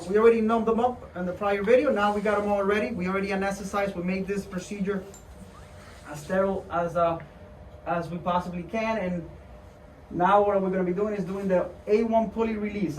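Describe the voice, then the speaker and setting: Male doctor, higher-pitched voice, informal and reassuring walkthrough of a procedure, picked up from a distance in an echoey room. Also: fairly even intonation, fairly quick. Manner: informal, reassuring, repeats himself while demonstrating. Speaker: doctor performing a hand procedure. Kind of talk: casual procedure walkthrough to patient and viewers. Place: indoor clinic room. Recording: roomy, distant mic with background noise.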